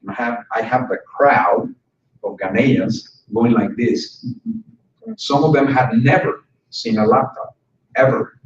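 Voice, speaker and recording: A man's voice: medium pitch, slow pace. A man giving a conversational conference presentation to instructors, joking with the audience now and then. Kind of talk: conference talk. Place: conference room. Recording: roomy hall sound from a distant mic, dull and slightly noisy.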